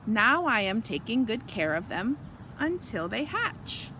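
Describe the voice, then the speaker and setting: A woman voicing a proud, contented father fish in a picture story, giving chipper, unhurried replies. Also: a little high, sing-song, sharp tone, a little hiss or hum, exaggerated voice, poor audio.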